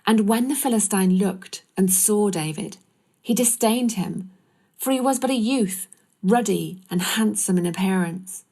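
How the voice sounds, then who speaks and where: A woman's voice: medium pitch, measured pace, mellow. A woman narrating a scripture story from a script.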